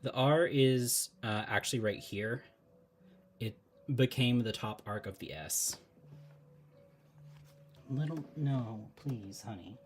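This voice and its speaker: A man talking casually on a live stream while he draws, thinking out loud, laughing often and chatting with viewers. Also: medium pitch, slow, pauses often, soft background music.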